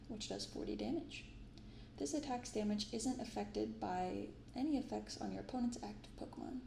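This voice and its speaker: A soft-spoken woman hosts in a gentle ASMR style, with casual, unhurried commentary. Her voice is mellow with a creaky fry in it. The room has an audible echo around her. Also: mid-range voice, a little hiss or hum. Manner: gentle, unhurried reading of card text. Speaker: host of a card-opening video. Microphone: binaural microphone.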